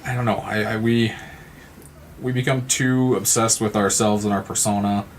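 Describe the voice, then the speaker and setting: Male podcast participant, low-pitched monotone, reflective, halting delivery with repeated words. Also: slight background noise. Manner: halting, repeats words while thinking aloud. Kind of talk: reflective conversational discussion.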